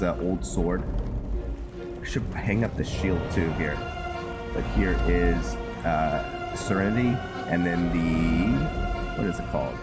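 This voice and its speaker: A man giving casual gameplay commentary, musing aloud in a halting, hesitant way, with music behind him. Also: deep voice. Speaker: gamer playing and narrating a fantasy roleplay series. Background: loud game music under the voice.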